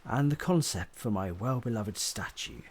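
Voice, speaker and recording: An actor playing a contented, affectionate newlywed husband in a period audio drama, with playful, old-fashioned phrasing. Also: male voice, lowish voice, expressive, moderate pace, slightly rough. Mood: playful, pleased. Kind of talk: period radio drama dialogue.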